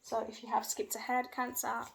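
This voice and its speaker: Gravelly, flat-voiced woman reading tarot. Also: a little high, fairly quick. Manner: hedging, repeats phrases, speaks by feeling. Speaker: tarot reader. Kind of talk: tarot card reading for viewers. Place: home. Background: faint noise of her young children.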